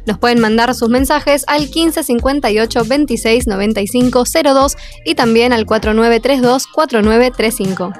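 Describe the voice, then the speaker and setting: An Argentine woman with a Rioplatense Spanish accent co-hosts a casual radio panel. Her voice sits fairly high, and she talks in a relaxed, chatty way, with light music playing faintly behind her. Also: moderate pace, hardly any pauses. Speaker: radio co-host.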